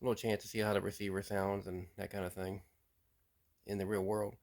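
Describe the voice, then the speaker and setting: A low-voiced man hosting a relaxed show-and-tell about his radio collection. He talks plainly and easily, often pausing on an "uh" and repeating a word before going on. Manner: relaxed and plain-spoken, with frequent "uh" and repeated words. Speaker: radio hobbyist host.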